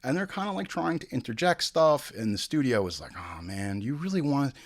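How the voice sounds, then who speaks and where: A man's voice: mid-range voice, very animated, a little fast. A man hosting a show with casual, opinionated film commentary, swearing freely and acting out imagined bits of dialogue. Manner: informal, frequent swearing. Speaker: show host.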